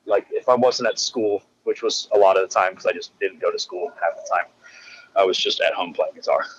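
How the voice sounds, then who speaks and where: Halting man on slightly dull audio. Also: low voice, slight background noise. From England. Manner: halting, frequent restarts and fillers. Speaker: musician guest. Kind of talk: casual interview chat.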